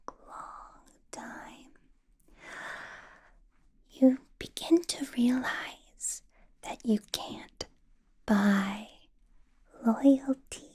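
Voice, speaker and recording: A woman's voice: on the high side, warm tone, husky, full character voice. A woman whispering as a centuries-old female vampire boss, teasing and possessive, in an ASMR roleplay. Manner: whispered throughout, teasing, menacing, playful laughs.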